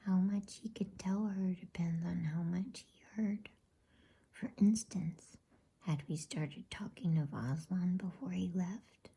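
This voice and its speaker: Breathy whisper, practical motherly storybook beaver, played by a woman, low, unhurried. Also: dark tone, heavily acted. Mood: shrewd. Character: shrewd, practical motherly female beaver, wife. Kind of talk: whispered bedtime storybook reading.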